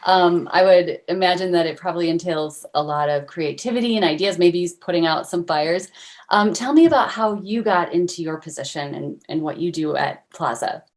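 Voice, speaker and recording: A woman's voice: lowish voice, moderate pace, darkish tone. A woman hosting an interview in a casual, conversational way. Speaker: interview host.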